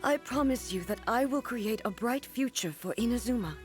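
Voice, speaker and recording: A woman playing a solemn, regal immortal ruler in an anime game, with formal, measured, earnest speech. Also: on the high side, lively intonation, music in the background, close to an everyday voice. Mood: moved, resolute. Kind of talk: dramatic anime game voice acting.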